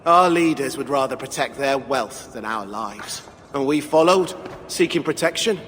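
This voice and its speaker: An actor playing a weary, bitter, downtrodden dwarf refugee in a video game, speaking in a sombre, plaintive manner. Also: male voice, medium pitch, expressive, normal speed, fairly bright tone, close to an everyday voice. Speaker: dwarf character. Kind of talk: game cutscene acting. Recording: long hall reverb, faint background noise.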